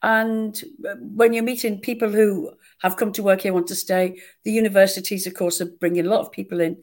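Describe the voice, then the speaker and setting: Lively actress telling stories. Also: female voice, medium pitch, brisk. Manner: lively self-mocking storyteller. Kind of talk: conversational interview.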